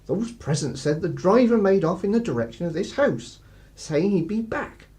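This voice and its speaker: A man's voice: high voice, animated, moderate pace, dark tone. An actor playing a plodding, deferential but sly English village policeman in a radio play, hesitant and roundabout. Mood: quietly knowing. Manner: hesitant, apologetic, polite, roundabout.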